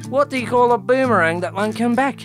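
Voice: mid-range voice, fairly quick, natural voice. A performer voicing a cheerful, folksy koala who jokes and calls everyone mate. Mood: cheery. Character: cheery laid-back Australian koala in a tree. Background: music.

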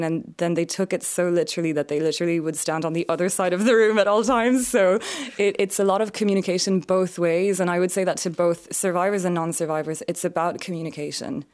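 A woman's voice: lowish voice, fairly even intonation, brisk, a little mellow. A woman from France with an Irish accent, an author talking earnestly and steadily in a radio interview. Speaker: author guest.

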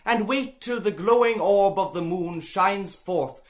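A man's voice: sits high, a little slow, pitched above the performer's own voice. A man voicing an amused, teasing Irishman with a florid, drawling delivery in a literary audiobook. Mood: mock-grand.

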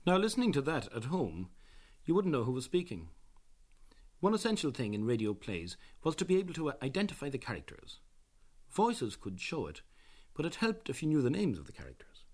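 A man presenting a scripted radio documentary in a measured, explanatory way. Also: medium pitch, wildly swooping pitch, darkish tone, slightly rough.

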